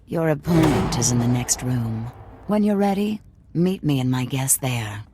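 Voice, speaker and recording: A woman playing a languid, sadistic crime boss in a video game, with a purring, teasing, drawn-out delivery that drops into whispers. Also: very deep voice, animated, heavy background noise. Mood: pleased, savoring. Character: sadistic, languid crime boss lady who savors cruelty.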